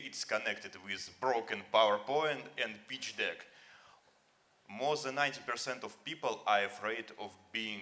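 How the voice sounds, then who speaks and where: A man's voice: fairly low pitch, pushed and bright. A man on a conference panel whose first language is Russian, speaking earnestly and encouragingly in slightly broken English. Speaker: panelist, company founder. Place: conference hall stage.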